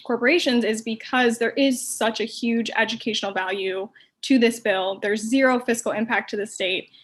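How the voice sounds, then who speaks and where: A woman advocating for a bill at a hearing, speaking confidently and persuasively. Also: a little high. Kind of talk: persuasive testimony.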